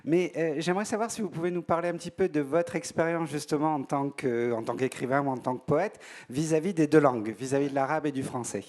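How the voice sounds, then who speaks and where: A man's voice: a little high, fast, hardly any pauses, darkish tone. A man interviewing a guest at a conference, asking polite, careful questions.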